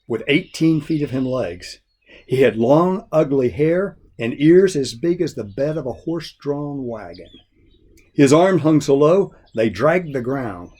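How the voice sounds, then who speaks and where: Husky man reading a folk tale. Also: mid-range voice, darkish tone. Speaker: story reader.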